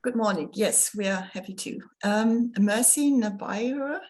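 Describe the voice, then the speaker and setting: A woman's voice: medium pitch, measured pace, darkish tone. A woman from Germany moderating an online roundtable on a video call, speaking hesitantly with many fillers.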